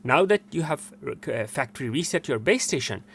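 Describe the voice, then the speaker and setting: A man's voice: mid-range voice, lively intonation, normal speed, vocal fry, fairly bright tone, a little hiss or hum. A man presenting a step-by-step technical tutorial in an instructional way, repeating steps for emphasis.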